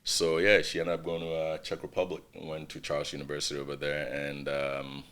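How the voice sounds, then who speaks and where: A middle-aged man from Ghana, a podcast guest, telling his life story in a relaxed way, with lots of "you know" and "right". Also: a bass voice, a little fast. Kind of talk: casual life-story interview.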